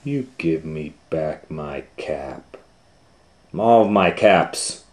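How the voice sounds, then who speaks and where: Storybook street peddler played by a male reader, slow, drawn-out hawker's calls, easily indignant. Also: rumbling low, expressive, a little mellow, full character voice. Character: cap peddler, a man calling out wares, then indignant. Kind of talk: storybook character voice.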